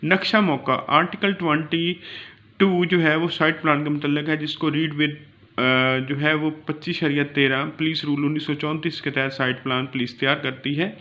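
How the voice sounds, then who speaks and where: A man's voice: a little high, flat delivery. A man with a Pakistani accent giving an explanatory law lecture in a didactic manner, mixing in English terms. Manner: didactic, reads citations slowly then explains. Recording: dull lo-fi recording.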